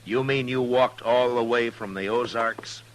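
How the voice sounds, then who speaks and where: An actor playing a weary but firm frontier marshal with an American accent and dry, understated authority in a 1950s radio Western. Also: male voice, medium pitch, fairly even intonation, measured pace, lightly acted, rough recording. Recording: old radio drama with music.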